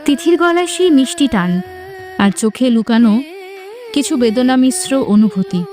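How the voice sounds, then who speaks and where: A woman's voice: high voice, animated, normal speed, darkish tone, faint music behind. A woman narrator reading a scripted romantic story.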